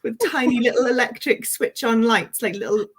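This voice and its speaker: A woman's voice: fairly high pitch, normal speed, slightly rough. A woman speaking as a guest author in a casual interview, informal, enthusiastic and self-deprecating.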